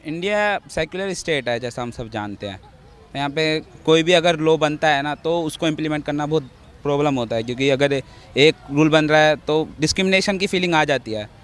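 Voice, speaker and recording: A man giving a measured opinion in an outdoor street interview, mixing in English words. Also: a little high, a bit bright. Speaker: member of the public giving opinion.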